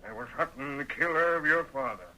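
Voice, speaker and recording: Cantankerous old rancher, American Western accent, hard piercing tone, folksy storytelling, radio Western drama, thin vintage recording. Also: male voice, a little high, lively intonation, fairly quick, sharp tone, low-quality recording. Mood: grim recollection. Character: crusty old Western rancher, bossy, shrewd family patriarch.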